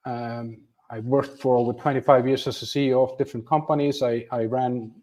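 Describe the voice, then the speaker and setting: A man's voice: mid-range voice, steady tone, brisk, darkish tone. A man in his fifties from Iceland hosting a livestream from home, talking casually to viewers, chatty and self-deprecating with many restarts. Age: 50s. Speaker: channel host. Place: home room with a flag behind him.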